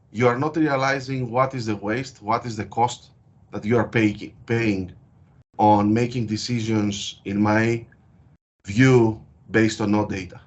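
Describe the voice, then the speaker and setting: Greek-accented man with a low voice. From Greece. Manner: frequent hesitations, thoughtful and explanatory. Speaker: guest researcher. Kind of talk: interview over a call. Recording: dull lo-fi call audio.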